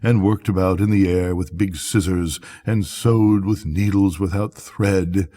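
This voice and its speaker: A man's voice: sits low, steady tone, a little slow, warm tone. An older man narrating a bedtime story as a grandfatherly storyteller.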